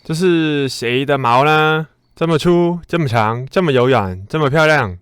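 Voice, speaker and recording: A man's voice: on the high side, fairly bright tone, smooth, close to an everyday voice. A man playing a boastful, self-important little ant in a children's radio drama, declaiming pompously. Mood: awed, delighted. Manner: pompous, slow, declaiming announcements.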